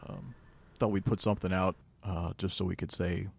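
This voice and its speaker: A man chatting casually as a podcast co-host, with a deadpan, self-deprecating manner. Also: deep voice, moderate pace, gravelly, breathy edge, no echo. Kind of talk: casual chat.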